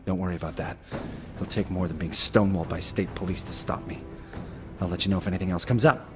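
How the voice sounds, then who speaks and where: An actor playing a wry, dogged forensic investigator in a video game, talking in a chatty, emphatic way. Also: male voice, deep voice, fairly even intonation, fast, close to an everyday voice. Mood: determined. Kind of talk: cinematic video game acting. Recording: game soundtrack music behind.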